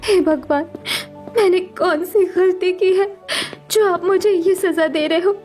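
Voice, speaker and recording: A woman's voice: extremely high pitch, unhurried, a little mellow. A woman voicing a meek, wronged young daughter who pleads plaintively in a melodramatic cartoon story. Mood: tearful, hurt. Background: music.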